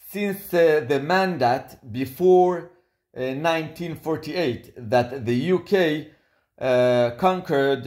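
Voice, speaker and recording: A man from Israel with an Israeli accent, speaking English as a second language in a halting self-recorded complaint, with many fillers and restarts. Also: a little high, a little mellow, echoey room. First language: not English. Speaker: litigant making a video statement. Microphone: phone.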